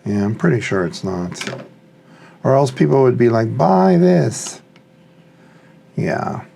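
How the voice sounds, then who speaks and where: Slow-talking man with a gravelly voice. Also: mid-range voice, big pitch swings, mellow, slight background noise. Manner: musing, thinking aloud, tentative. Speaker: hobbyist product reviewer. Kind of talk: casual unscripted unboxing commentary.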